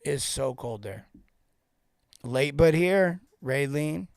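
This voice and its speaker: A man in his forties hosting a casual live-stream coaching talk, joking and rambling off into asides with informal, animated banter. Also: on the high side, slow pace, vocal fry, no echo, clean studio sound. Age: 40s. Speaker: host and sales coach for plumbers.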